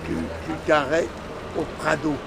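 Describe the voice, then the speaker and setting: A man's voice: level tone, a little slow, gravelly. A middle-aged man from Lyon, France, chatting casually in slang, emphatic and repeating himself as he gives travel tips. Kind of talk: casual animated chat, giving travel tips. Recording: very noisy outdoor street recording, traffic rumble.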